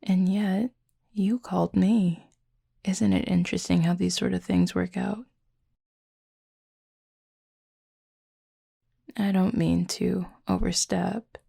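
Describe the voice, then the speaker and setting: Woman as a husky, gravelly, flirty werewolf. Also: lowish voice, level tone, a little slow, mellow, no room sound, studio quality, full character voice. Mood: fond, teasing. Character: flirty, teasing werewolf pack leader, confident and protective. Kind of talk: intimate audio roleplay.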